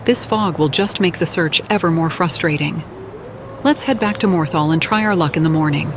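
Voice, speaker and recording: A woman speaks in character over a fantasy game playthrough. Her voice is on the low side. Clear ambient noise sits behind her. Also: normal speed. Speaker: player narrating in character.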